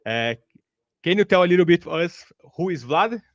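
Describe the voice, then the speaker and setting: A man with a Brazilian Portuguese accent hosts an interview, stumbling and restarting often in friendly speech. His voice is a bit high for a man. The audio is dry and dull, as from a video call. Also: animated, dry recording. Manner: halting, many restarts, friendly. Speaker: podcast host. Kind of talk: casual interview hosting.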